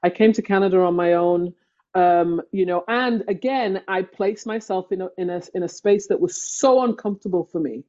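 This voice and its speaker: English woman, podcast guest, reflective, metaphor-driven, warm dark tone, muffled audio. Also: lowish voice. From England. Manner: slow, thoughtful, uses metaphors. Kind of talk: reflective conversation.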